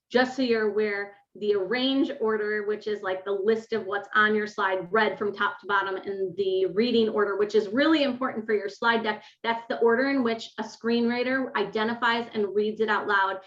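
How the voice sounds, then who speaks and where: A woman with a naturally loud, fairly high voice walks listeners through a teaching webinar. She explains patiently with plenty of fillers. The audio is dull and lo-fi. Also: roomy sound. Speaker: webinar presenter. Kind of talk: live webinar presentation. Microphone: desk microphone.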